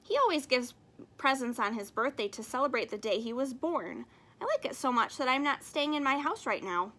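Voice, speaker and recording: A woman voicing a gentle, polite giant panda in a children's picture book, speaking with formal courtesy. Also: sits high, animated, moderate pace. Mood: kindly, pleased.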